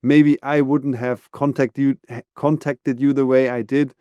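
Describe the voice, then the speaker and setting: A man's voice: mid-range voice, flat delivery, mellow, clean tone, dry recording, highs cut off. A man hosting a podcast, talking earnestly and giving advice in a casual interview. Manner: earnest, advising, measured with fillers. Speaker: podcast host.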